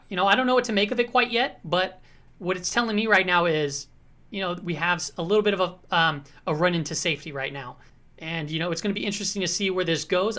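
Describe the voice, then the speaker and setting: A woman hosting a casual market commentary, slightly hoarse, talking through charts in a conversational way with frequent check-ins to the viewer. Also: mid-range voice, a bit bright.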